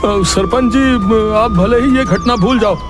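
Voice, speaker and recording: A vengeful, cheeky cartoon crook from the village, put on by a man. The voice is high and whiny with excitable, rustic delivery. Clear music runs underneath. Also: a little slow. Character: scheming, vengeful village crook, cheeky hot-headed male sidekick. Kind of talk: cartoon moral-story acting.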